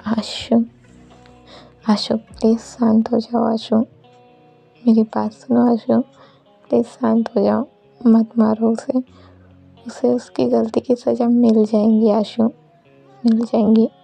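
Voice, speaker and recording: Storytelling role: devoted man begging his beloved acted by a woman, urgent, repetitive, gravelly, faint music. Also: on the high side, little pitch movement, slow, pauses often, mellow, exaggerated voice. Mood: urgent soothing.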